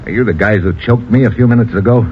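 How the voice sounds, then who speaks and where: An actor playing a wry, tough American secret agent in an old radio thriller, with a sardonic, hard-boiled manner. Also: male voice, on the low side, quick pace, a little hiss or hum, lightly acted. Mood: wry, annoyed. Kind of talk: old-time radio thriller acting and narration. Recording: muffled old radio broadcast, loud music.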